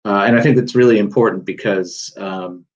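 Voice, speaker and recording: A man's voice: fairly low pitch, steady tone, normal speed, dull audio. A man speaking as a guest author on a panel, thoughtful and rambling with many restarts. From United States. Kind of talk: panel discussion.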